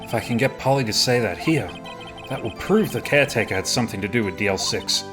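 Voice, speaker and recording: An earnest young lawyer, played by a man in a fan game dub. He argues insistently in a mid-range voice, with music clearly heard behind him. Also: medium pitch, fast, natural voice. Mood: anxious. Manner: emphatic courtroom argument. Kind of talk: amateur video game dub.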